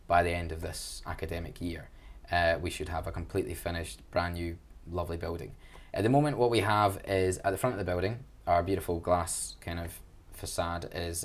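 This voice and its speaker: Young Glaswegian man, low-pitched, enthusiastic and chatty, joking at his own expense. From Glasgow, Scotland. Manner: enthusiastic, chatty, self-deprecating. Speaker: student union events officer. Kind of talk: casual interview answers.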